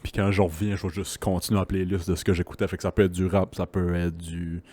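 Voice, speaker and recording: Man, Québécois accent, low voice, easygoing chat, laughs often, dry sound. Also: level tone, fast, dark tone, no echo. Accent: Québécois French. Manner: laid-back, laughs often. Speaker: podcast co-host. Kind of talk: casual chat.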